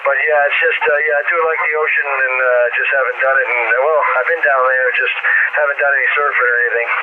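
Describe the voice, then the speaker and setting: A man's voice: mid-range voice, flat delivery, fast talker. American man over muffled, very noisy radio. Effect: two-way radio. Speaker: radio hobbyist. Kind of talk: casual two-way radio chat. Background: loud radio static.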